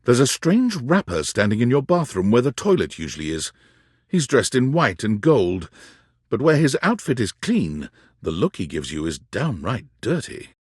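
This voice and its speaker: Wry game narrator, husky, hugely varied intonation, dramatic scripted delivery, dry studio sound. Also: male voice, mid-range voice, very animated, moderate pace, a little mellow, no echo.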